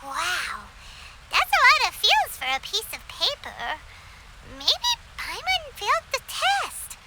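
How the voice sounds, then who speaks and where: A woman voicing a tiny, excitable fairy companion girl in a video game, chirpy and exclaiming. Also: very high-pitched, slow pace, heavy background noise, heavily acted. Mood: curious. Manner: exclaiming, refers to self in third person.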